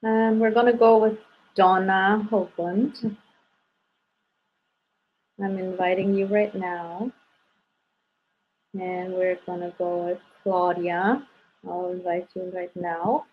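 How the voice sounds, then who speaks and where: A woman with a German accent talks easily over a video call, leading a meditation and giving readings. Her voice sits at a middle pitch, and the sound is a little dull and lo-fi. She pads her sentences with "um" and "like". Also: takes it slowly, pauses often. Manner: relaxed, many fillers like "um" and "like". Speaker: spirit guide medium hosting live readings. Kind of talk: casual guided meditation and readings.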